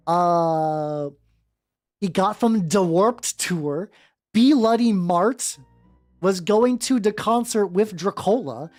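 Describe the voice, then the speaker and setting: A woman's voice: mid-range voice, darkish tone. A woman livestreamer reading fanfiction aloud and breaking into informal, sweary, rambling asides. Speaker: livestream host, VTuber. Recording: music playing behind the voice.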